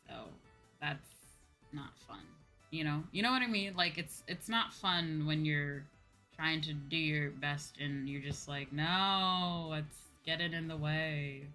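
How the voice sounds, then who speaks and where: A young woman chatting casually to viewers on a livestream in an expressive, rambling, self-deprecating way. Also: sits low, slow pace. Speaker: VTuber streamer. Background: faint background music.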